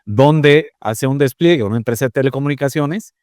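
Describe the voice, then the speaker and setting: A man, a guest explaining telecom topics in a measured way, with many fillers and tag questions. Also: medium pitch, darkish tone, no echo, studio quality. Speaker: interview guest, telecom expert. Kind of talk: conversational interview.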